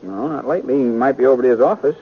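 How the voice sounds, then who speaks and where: An actor playing a garrulous small-town blacksmith in a 1950s radio western, with an excitable, folksy rural American manner and a husky voice. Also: male voice, mid-range voice, rapid-fire, close to an everyday voice. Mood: gossipy. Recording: muffled 1950s radio recording.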